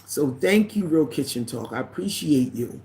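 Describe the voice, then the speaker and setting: A woman with a deep voice hosts a casual livestream. She greets viewers by name, reads their comments aloud, and drifts into preachy asides. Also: expressive, moderate pace, mellow. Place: living in the Caribbean.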